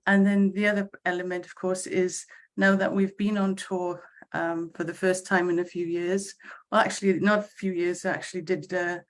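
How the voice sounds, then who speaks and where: A woman from Wales with a Welsh accent, a choir conductor speaking in a casual online panel over a video call, halting and full of fillers and restarts. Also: on the low side. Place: home, eastern United States.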